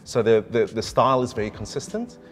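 A man's voice: mid-range voice, very animated, a little fast. A middle-aged man, speaking as a wine specialist in an enthusiastic, explanatory way, standing in a decorated indoor room. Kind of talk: conversational presentation.

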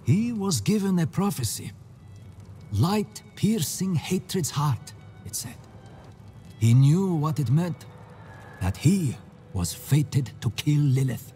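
An actor playing a devout, solemn follower of a holy order in video game dialogue, reverent and measured. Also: male voice, a little high, very animated, dark tone, faint music behind. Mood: zealous.

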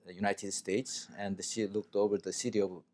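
A middle-aged man with a Korean accent hosts an interview on a TV studio set. His voice sits low, and his English comes haltingly, full of fillers. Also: flat delivery, darkish tone. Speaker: host.

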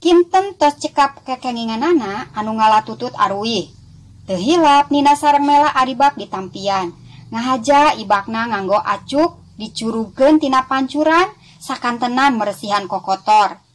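A woman's voice: a little high, animated, normal speed, poor audio. A woman with a West Java Sundanese accent narrating a scripted children's story expressively, as a storyteller addressing young listeners. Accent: Sundanese-speaking West Java.

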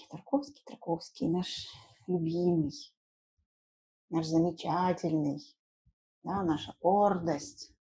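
A woman's voice: on the low side, slightly rough, low-quality recording. A woman in her thirties teaching an informal lesson in simple words, repeating key words and adding short check questions. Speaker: language teacher. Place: small room indoors at home.

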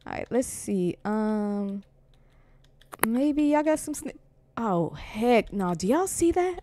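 Woman as a high-pitched, jumpy young woman. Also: lively intonation, takes it slowly, warm tone. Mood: wary, bossy. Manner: stammering, repeating words, panicky, sassy. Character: jumpy, bossy young woman at a scary sleepover. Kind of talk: improvised amateur voiced roleplay comedy.

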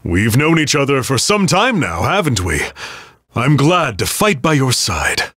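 An actor playing a cocky, hearty young armored warrior lord in a video game, with a bold, playful swagger. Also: male voice, mid-range voice, wildly swooping pitch, unhurried, a bit bright, no echo, studio quality, heavily acted. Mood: cocky, easygoing. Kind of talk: English video game character voice clips.

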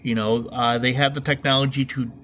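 A man's voice: medium pitch, level tone, fairly quick, pushed and bright. A middle-aged man hosting a casual, comedic movie review podcast in an opinionated, wry, informal way. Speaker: podcast host.

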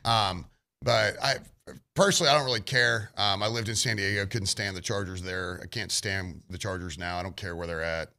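A man's voice: low voice, fairly even intonation, a little fast, bright, forceful tone. A man co-hosting a sports radio show, talking in a heated, rambling, opinionated way.

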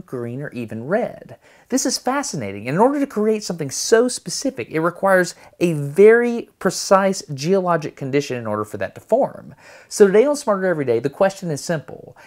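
A man in his thirties from Alabama with a Southern American accent, narrating and asking curious, excited questions as a science video host. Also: fairly high pitch. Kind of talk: enthusiastic explainer narration. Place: home office; outdoor desert site.

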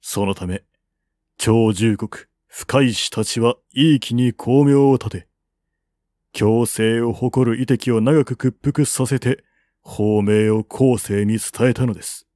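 A man reading the part of a cautious army general who gives his lord grave, formal, deliberate counsel in an audiobook. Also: medium pitch, mellow, no echo, studio quality, close to an everyday voice. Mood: grave, reasoning.

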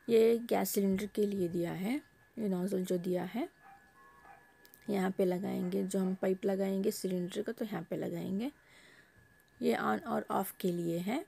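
Woman, vlogger, husky voice, mellow tone, homely step-by-step explaining, phone recording at home. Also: medium pitch. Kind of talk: casual product unboxing narration. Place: home, table top.

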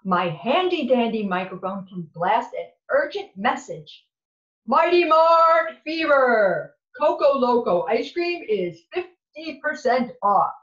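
A woman's voice: a little high, sing-song, slow pace, a bit bright, roomy sound, highs cut off, pitched above the performer's own voice, exaggerated voice. A woman voicing a flamboyant, boastful supermarket manager in a theatrical way for a children's picture book. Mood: showy, excited. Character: flamboyant, excitable male supermarket manager showing off.

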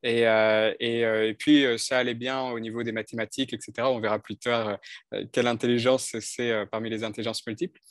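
A man's voice: mid-range voice, flat delivery, brisk, dry recording, studio quality. A man in his thirties from northern France, a coach telling his life story in a video call interview, thoughtful and hesitant with many restarts. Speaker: coach and speaker. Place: bright indoor room. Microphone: headset on video call.

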